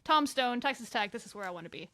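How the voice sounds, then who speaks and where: A woman co-hosting a casual sports podcast, talking in an animated way, laughing and repeating words as she starts her sentences. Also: a little high, fast talker. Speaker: podcast co-host.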